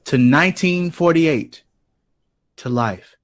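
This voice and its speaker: A man playing a warm, witty jazz composer hosting a party, theatrical and affectionate, in an audio drama. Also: a little high, slow. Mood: affectionate, festive.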